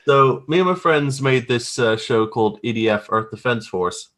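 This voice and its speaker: A man's voice: mid-range voice, normal speed, fairly bright tone, slight background noise. A man in his thirties with a Belfast accent, telling anecdotes in an informal, rambling, self-deprecating way. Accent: Belfast, Northern Irish. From Northern Ireland. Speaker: podcast guest, graphic artist. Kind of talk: casual chat, anecdotes.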